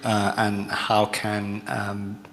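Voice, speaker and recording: Low, monotone man panelist. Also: drawn-out words. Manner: stammering starts, repeats words. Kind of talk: panel discussion answer. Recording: echoey conference hall.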